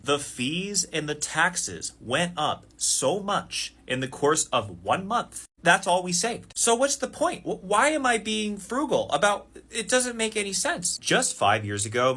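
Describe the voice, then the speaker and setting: Exasperated man with a bright, forceful voice. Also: on the high side. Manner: exasperated, incredulous, sarcastic. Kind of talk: personal rant to camera.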